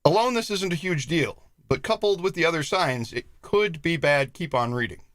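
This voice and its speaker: A man's voice: fairly high pitch, expressive, normal speed, a bit bright, no room sound, clean studio sound. A man co-hosting a live show, reading a comic list in a mock-serious tone. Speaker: co-host.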